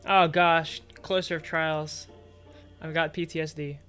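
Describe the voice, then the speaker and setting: A man's voice: fairly high pitch, flat delivery, a little slow, a bit bright, clean tone, faint music behind. A man giving casual streamer reaction commentary in short, amused interjections. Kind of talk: casual reaction commentary over an audio drama.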